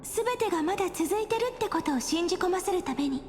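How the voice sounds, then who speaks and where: A woman playing a gentle, resolute and wistful young heroine in an anime game. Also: extremely high pitch, lively intonation, very fast, a little hiss or hum. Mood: solemn, resolute. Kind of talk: Japanese anime game voice acting. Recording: long reverb, music under the voice. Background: game music.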